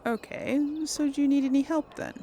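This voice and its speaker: A woman's voice: high voice, steady tone, brisk, dark tone, slight background noise. A woman giving casual role-play game commentary, hesitant and thinking aloud as she goes. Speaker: gaming video host.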